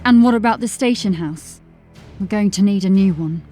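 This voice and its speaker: A woman's voice: mid-range voice, moderate pace, mellow, faint music behind, natural voice. A woman playing a dry, sceptical constable of a fantasy city watch in an audio drama. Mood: dry, doubtful. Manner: questioning, dry.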